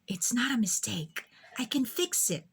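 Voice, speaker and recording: Woman as an earnest, high-pitched little schoolgirl. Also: very animated, a little slow, breathy edge, exaggerated voice. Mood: muttering, determined. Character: young schoolgirl, anxious, earnest, easily upset artist.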